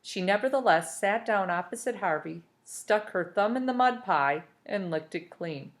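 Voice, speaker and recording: A woman's voice: medium pitch, animated, moderate pace. A woman narrating a children's novel aloud as a storybook reader.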